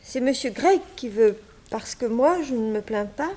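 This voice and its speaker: Plaintive young maid, higher-pitched. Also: female voice, expressive, normal speed, a little mellow. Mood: plaintive, resigned. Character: wronged young unwed mother, former maid, resigned. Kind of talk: 1960s French radio drama acting.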